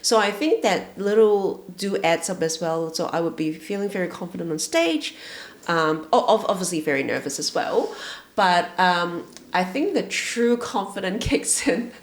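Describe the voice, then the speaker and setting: A woman, chatting as an interview guest in a thoughtful, soft-spoken way with many um fillers. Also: lowish voice, animated, moderate pace. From Hong Kong. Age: 40s. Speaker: guest, retail and education manager. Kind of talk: casual interview chat. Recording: roomy echo.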